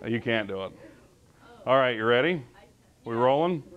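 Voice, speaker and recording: A man's voice: mid-range voice, expressive, moderate pace, lo-fi sound. A man in his thirties, a chemistry teacher, talks informally and jokingly through a live classroom demonstration. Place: school classroom with students. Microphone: lapel mic. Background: classroom chatter and laughter.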